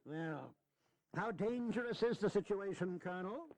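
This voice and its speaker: An actor playing a shrewd, philosophical old statesman in a historical audio drama, speaking in deliberate, theatrical oratory. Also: sits high, animated, darkish tone.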